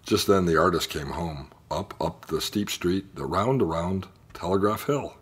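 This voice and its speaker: A man reading a children's picture book aloud in an expressive storytelling style. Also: low-pitched, moderate pace, slightly rough. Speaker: storybook reader.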